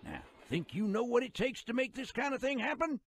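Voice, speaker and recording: An actor playing a jovial, folksy old-timer narrator from the American West in a game cutscene, with a colloquial, theatrical drawl and a gravelly voice. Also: male voice, very high-pitched, lively intonation, a little fast, a little hiss or hum. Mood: folksy, animated. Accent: American Southern or Western drawl.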